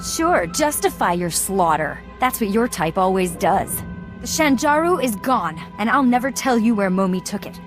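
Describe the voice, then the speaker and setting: A woman's voice: mid-range voice, expressive, moderate pace, natural voice. An actress playing an idealistic, headstrong young female activist in a video game. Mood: accusing, defiant. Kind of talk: video game character acting. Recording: music under the voice.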